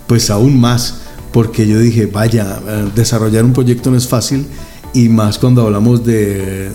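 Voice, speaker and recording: A man's voice: medium pitch, mellow. A man hosting a radio interview, enthusiastic and given to exclamations, with hesitant fillers between phrases. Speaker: radio host.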